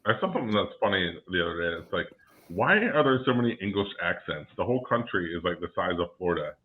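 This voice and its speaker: A man co-hosting a casual podcast, blunt and quick to swear. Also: low voice, big pitch swings, phone-quality sound. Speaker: podcast co-host. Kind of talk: casual chat.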